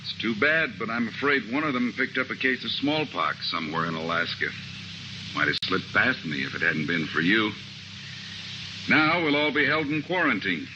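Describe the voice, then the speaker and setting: A man playing a brisk ship's doctor. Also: on the low side, animated, lightly acted. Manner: brisk, genial, bedside-manner tone. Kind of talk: 1950s radio drama acting. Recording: muffled old radio recording with hiss.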